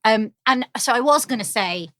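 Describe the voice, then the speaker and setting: A woman's voice: on the high side, lively intonation, moderate pace, a bit bright, dry recording, studio quality. A woman in her thirties, hosting a casual podcast interview in a friendly, encouraging way. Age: 30s. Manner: friendly, encouraging, many likes and yeahs. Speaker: podcast host.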